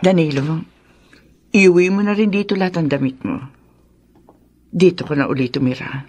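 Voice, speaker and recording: A woman's voice: fairly low pitch, moderate pace, darkish tone, natural voice. A Filipino woman playing a worried, tender, remorseful middle-aged mother in a radio drama. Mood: remorseful, pleading.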